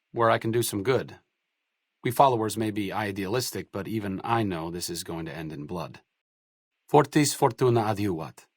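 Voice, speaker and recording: An actor playing an idealistic, bookish wasteland doctor in a video game, articulate and wry in scripted dialogue. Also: male voice, lowish voice, breathy edge, dry recording, studio quality, full character voice. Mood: earnest, wry. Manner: articulate, wry, erudite wording.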